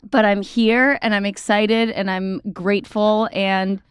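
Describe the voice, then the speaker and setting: A young woman co-hosting a podcast in upbeat casual chat, quick to laugh and chime in with jokes. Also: mid-range voice, fairly even intonation, clean tone, dry recording, studio quality. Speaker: podcast co-host.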